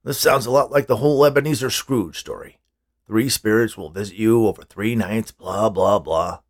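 Man playing a gravelly grumpy older man. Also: fairly low pitch, moderate pace. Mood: dismissive. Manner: curt, sarcastic. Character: grumpy, misanthropic older man, blunt and dismissive.

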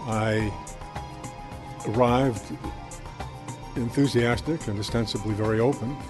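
A man from California speaks in a very slow, halting way, weighing each phrase. Clear music runs under him. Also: medium pitch, steady tone. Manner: halting, thoughtful, with many pauses. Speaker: coaching trainee. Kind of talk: testimonial.

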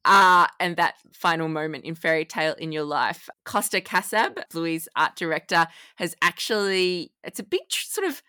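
A woman's voice: on the low side, expressive, moderate pace, hardly any pauses, bright, forceful tone, smooth, dry recording, studio quality. A woman co-hosting a casual fan podcast, chatting with an enthusiastic manner. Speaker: podcast co-host.

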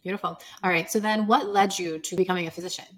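A woman's voice: on the low side, fairly even intonation, fast, a crowd in the background. A woman hosting an interview, upbeat and encouraging as she asks questions. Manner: upbeat, encouraging, laughs easily. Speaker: podcast host.

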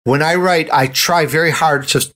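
A man's voice: a little high, normal speed, bright, forceful tone. An older man talking conversationally as the host of an interview show.

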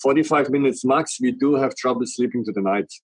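A man's voice: medium pitch, a little fast, husky, no echo. A middle-aged man from Germany with a strong German accent, earnestly explaining a product at length in an interview. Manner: earnest, explains in long run-on sentences. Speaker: guest, company representative.

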